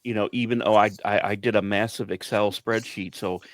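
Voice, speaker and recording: A man's voice: fairly low pitch, steady tone, a little fast, no echo. A man who directs radio plays, chatting casually in a group and often stammering and repeating words. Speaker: producer and director of a radio play.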